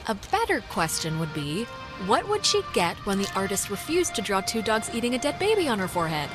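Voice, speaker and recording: A woman playing a quick-witted, sardonic and defiant teenage girl in a naturalistic video game. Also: medium pitch, big pitch swings, music in the background, natural voice. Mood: teasing. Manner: snarky, casual, blunt.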